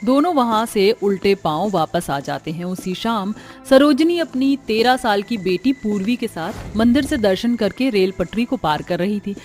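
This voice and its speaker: A woman's voice: mid-range voice, lively intonation, normal speed, few pauses, a little mellow, faint music behind. A woman reading scripted dramatic narration for a cartoon horror story.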